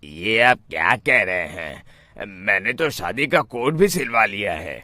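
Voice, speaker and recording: A man's voice: fairly low pitch, animated, a little slow, sharp tone, dry recording. A man voicing an anxious, protesting young village bridegroom in a cartoon story. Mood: dismayed. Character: nervous young village bridegroom, fearful but pleading.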